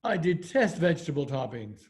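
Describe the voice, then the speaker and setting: A man's voice: on the high side, sing-song, moderate pace, darkish tone. Man reading a grumpy, exasperated pizza customer. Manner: indignant, blustering complaints. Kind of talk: amateur readers theater over video call.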